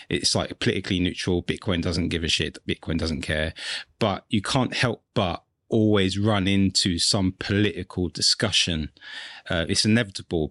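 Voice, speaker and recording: A man's voice: low-pitched, a bit bright, dry recording. A man co-hosting a podcast, talking casually in a reflective, rambling way toward his questions. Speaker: podcast co-host. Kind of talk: casual conversational interview.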